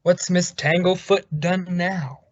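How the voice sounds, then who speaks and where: A man's voice: high voice, steady tone, takes it slowly, dry recording. An actor playing a blustery, sardonic older male boss in an old radio comedy. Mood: knowing, amused. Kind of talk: 1950s radio sitcom acting. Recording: dull vintage radio recording.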